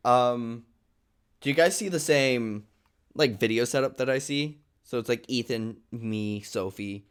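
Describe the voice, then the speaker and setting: Clear-voiced man hosting a casual livestream. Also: medium pitch, measured pace. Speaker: host of an online play table read. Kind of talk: casual livestream chat, explaining to viewers. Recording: online group call livestream.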